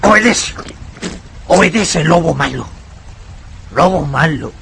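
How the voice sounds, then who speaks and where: Bossy harsh master in a radio play, gravelly, kinda high, noisy background. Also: male voice, animated, slow pace, full character voice. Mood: angry. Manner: urgent, barking commands, repeating himself. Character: harsh, bossy master ordering a wolf dog around. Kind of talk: radio drama acting.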